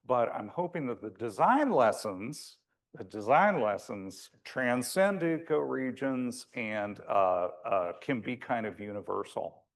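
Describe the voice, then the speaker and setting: A man with a big voice and a medium pitch presents to an audience in a conversational lecture style. His delivery is informal and a little wry, with frequent hesitations as he explains things. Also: lively intonation, unhurried. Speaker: landscape architect giving a presentation.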